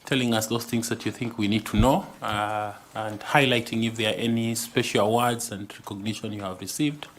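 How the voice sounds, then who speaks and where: A man chairing a selection panel, welcoming a candidate in a courteous, deliberate and formal way. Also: lowish voice, steady tone, a little hiss or hum. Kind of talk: formal panel interview opening. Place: interview room. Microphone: table microphone.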